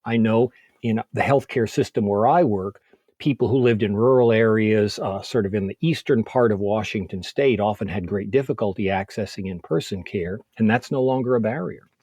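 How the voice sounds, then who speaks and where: A man speaking as a physician in an interview, explaining things methodically in measured, orderly points. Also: fairly low pitch, darkish tone, breathy edge, no room sound, clean studio sound. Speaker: physician, expert interview guest.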